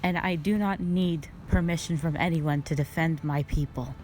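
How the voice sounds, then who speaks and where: A woman playing a stern, calm, duty-bound dragon guardian, speaking formally and solemnly in a scripted roleplay audio drama. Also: low voice, a little hiss or hum, lightly acted. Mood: stern, resolute. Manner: measured, solemn, formal phrasing.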